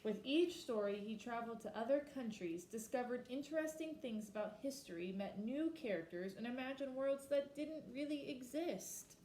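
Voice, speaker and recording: A woman reading a children's picture book aloud expressively, slowing down for emphasis and pausing to ask her listeners questions. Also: on the high side, dark tone, echoey room, a little hiss or hum, poor audio. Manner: asks listeners reflective questions. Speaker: storybook reader.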